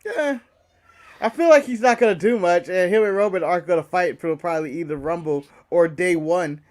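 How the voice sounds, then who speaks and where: A man's voice: sits high, fairly quick, darkish tone. A man co-hosting a podcast in casual sports chat, giving opinions and cracking jokes. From United States. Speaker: podcast co-host.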